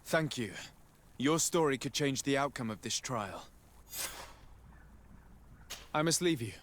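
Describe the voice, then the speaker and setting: An actor playing a composed, formal noble envoy who asks polite, pointed questions in a fantasy game. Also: male voice, medium pitch, lively intonation, slow pace, fairly bright tone, a little hiss or hum. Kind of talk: scripted fantasy game acting.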